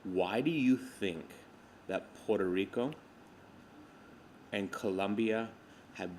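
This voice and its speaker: Low-voiced man hosting an interview. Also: slow pace, darkish tone, a little hiss or hum. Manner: enthusiastic, stumbles over his questions. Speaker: podcast host. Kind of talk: casual interview questions.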